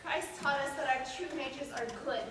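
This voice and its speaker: In a very echoey hall, heard from a distance, a woman acts an earnest Victorian minister's wife in a stage play. Her high voice is formal and pleading as she reasons for her own sanity. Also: normal speed, natural voice. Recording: echoey hall, distant mic, faint noise.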